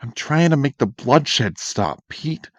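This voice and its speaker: A man's voice: mid-range voice, lively intonation, slow pace, dry recording, muffled recording. A man voicing a blunt, streetwise gangster who talks in tough slang, in a fan comic dub. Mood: gruff. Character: streetwise male gangster, torn but decent.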